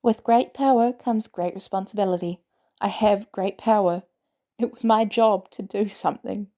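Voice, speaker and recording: A woman's voice: mid-range voice, moderate pace, dark tone, lightly acted. A woman voicing an eager, stubborn fourteen-year-old boy in an audio drama, curious and cheeky, blurting out questions. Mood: guilty, earnest.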